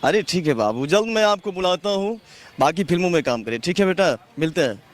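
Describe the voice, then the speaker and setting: A man's voice: sits high, lively intonation, fairly quick. A man with a Bhojpuri accent in casual chat that slips into swaggering, film-style dialogue, full of familiar endearments.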